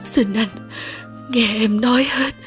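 An actress playing a frail, ailing, sorrowful mother in an animated folk tale, weak and tearful. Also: a little high, takes it slowly, faint music behind. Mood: weak, grieving.

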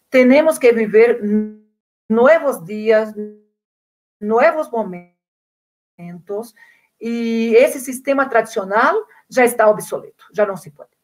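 A woman from Brazil with a Portuguese accent speaks Spanish as a second language in a slightly high voice. She talks earnestly and often repeats a word while reaching for the next one. The sound is that of a video call. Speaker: invited speaker. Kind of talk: online conference talk, answering questions.

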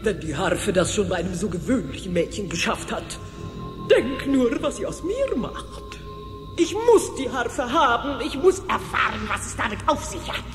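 An actress playing a vain, scheming, greedy witch in a cartoon radio play, with theatrical, drawn-out gloating. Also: a little high, big pitch swings. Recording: reverberant hall, music behind.

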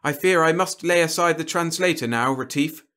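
A man's voice: a little high, normal speed, pushed and bright, voice pushed up. A man voicing a genteel, pompous alien warlord in an audiobook, with fussy, over-polite, mannered speech. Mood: reluctant, courtly. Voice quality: scratchy. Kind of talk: audiobook character dialogue.

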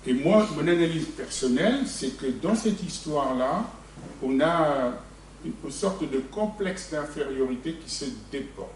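A man's voice: on the high side, unhurried, a little hiss or hum. Senegal-born man speaking up from the floor. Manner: animated, blunt, conversational. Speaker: audience member. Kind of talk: spontaneous personal testimony. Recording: echoey room, mic far off.

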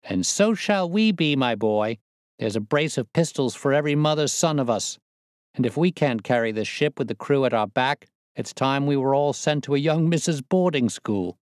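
A man's voice: medium pitch, moderate pace, no echo, clean studio sound, pitched above the performer's own voice, lightly acted. A man reading a story aloud, voicing a boastful, swaggering young male convict who leads a plot. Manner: boastful, coarse, excitable.

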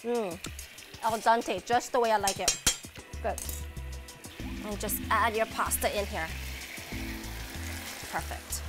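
A woman's voice: mid-range voice, takes it slowly, long pauses. A woman in her thirties hosting a cooking demonstration in a kitchen, talking in an upbeat, chatty, encouraging way. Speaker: cooking show host and restaurant owner. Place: home kitchen, indoors. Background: background music and sizzling pan.

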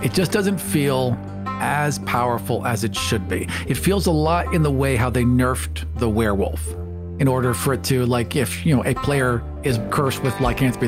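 A man hosting a podcast, giving introductions and chatting with his fellow speakers. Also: mid-range voice. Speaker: podcast host, writer and producer.

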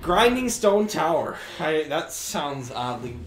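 A young man co-hosting a co-op video game session, joking around with sudden loud outbursts. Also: a little high, sing-song, takes it slowly, soft background music. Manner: jokey, loud outbursts, mock dramatic. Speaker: gaming channel co-host. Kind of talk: playful co-op gameplay commentary.

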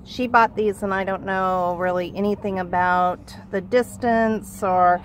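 A middle-aged woman vlogging in casual chat from inside a truck, playful and quick to laugh. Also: mid-range voice, fairly even intonation, slight background noise. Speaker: vlog host. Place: inside a parked pickup truck.

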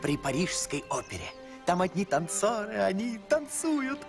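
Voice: mid-range voice, moderate pace, gravelly. Дубляж мультфильма: актёрская озвучка самоуверенного болтливого мальчика-сироты, который говорит хвастливо и возбуждённо. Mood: boastful. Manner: boastful, excitable. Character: cocky, chatty young orphan boy, would-be inventor. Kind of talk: animated film dub acting. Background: loud film music.

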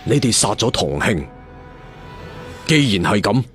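A man voicing a brooding, menacing young leader of swordsmen in a storybook, slow, weary and threatening. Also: lowish voice, sing-song, fairly bright tone, soft background music.

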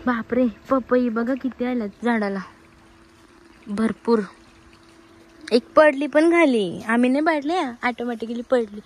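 A woman's voice: on the high side, measured pace, long pauses, darkish tone. A young woman from Maharashtra, India, vlogging casually on a phone outdoors, chatty and mixing in English words. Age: young adult. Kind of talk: casual vlog narration to camera, chatting with friends. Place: outdoors on hillside trails and farm grounds. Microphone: phone at arm's length. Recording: noisy outdoor phone recording.

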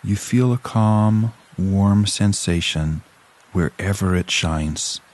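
Very deep, slow male relaxation guide. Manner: calm, soothing, measured with long pauses. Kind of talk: scripted guided relaxation narration.

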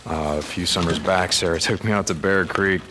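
An American actor playing a gruff, wry outlaw biker in a video game, with halting, sardonic delivery. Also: male voice, low-pitched, steady tone, background noise, natural voice. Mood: halting, subdued. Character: gruff, wry outlaw biker, adult man, guarded but teasing. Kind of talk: naturalistic cinematic game acting.

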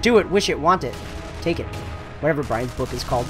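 A man's voice: on the high side, expressive, fast. A young man rambling through a casual chat while his friend plays a game. He talks in loose, winding sentences full of fillers and swearing, over faint background music. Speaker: let's play co-host.

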